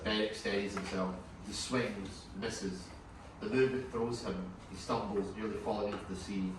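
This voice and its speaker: Male narrator for a table read: very low voice, scripted stage directions, heavy echo and background noise. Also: fairly even intonation, normal speed. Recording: very echoey room, far mic, clear noise.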